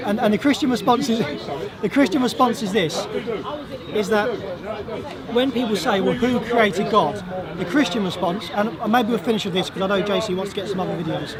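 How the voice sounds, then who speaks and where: A man with a high voice that has gone hoarse, pressing his points in a street debate. He talks insistently and doubles back on words when he is cut off. A crowd murmurs clearly around him in an open public park. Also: moderate pace. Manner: insistent, argumentative, repeats himself when cut off. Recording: outdoor crowd noise.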